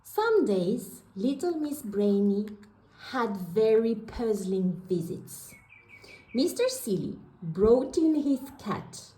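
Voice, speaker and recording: A woman reading a children's picture book aloud in an expressive, deliberate storytelling manner. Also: a little high, roomy sound, low-quality recording. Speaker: storybook reader.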